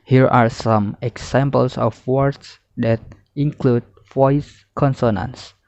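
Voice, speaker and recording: A young man presents a scripted lesson slowly and carefully, often word by word. His tone is mellow, and the recording is dry and somewhat dull. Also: medium pitch, fairly even intonation, no room sound. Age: young adult. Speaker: student presenter. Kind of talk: scripted lesson narration, reading slides. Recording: muffled, dull lo-fi audio.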